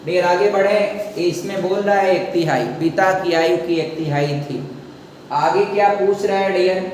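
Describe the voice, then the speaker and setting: A man teaching a math lesson at a board, who often calls the students "dear" and repeats each phrase slowly as he writes it out. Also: high voice, slight background noise. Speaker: maths teacher. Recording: echoey room, voice from far off.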